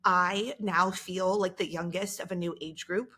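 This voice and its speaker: A woman's voice: fairly low pitch, steady tone, unhurried, sharp tone. Candid woman in her early thirties, podcast host. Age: 30s. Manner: candid, storytelling, self-deprecating. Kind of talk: casual chat.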